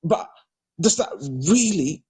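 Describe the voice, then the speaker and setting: Husky, hesitant Nigerian man. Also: high voice, lively intonation, slow, dark tone. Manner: hesitant, many fillers and restarts; grows emphatic and insistent when criticising politicians. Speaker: political party spokesman. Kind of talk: audio discussion replying to a participant.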